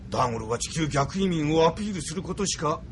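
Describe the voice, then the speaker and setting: A man's voice: a little high, lively intonation, fast, a little hiss or hum, lightly acted. A man playing a cautious, deferential senior admiral advising his leader in a Japanese audio drama, with formal old-fashioned phrasing. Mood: dismissive, cautioning.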